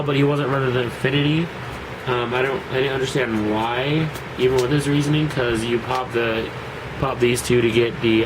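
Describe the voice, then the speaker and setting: A man's voice: medium pitch, background noise. Nasal young man with a cold. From Seattle area. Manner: rambling, hesitant, many fillers and restarts, swears casually. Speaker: card game deck reviewer. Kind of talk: casual deck profile vlog.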